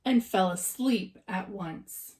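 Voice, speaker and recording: A woman reading a children's story aloud like a teacher, expressive and dramatizing for young listeners. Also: mid-range voice, slow.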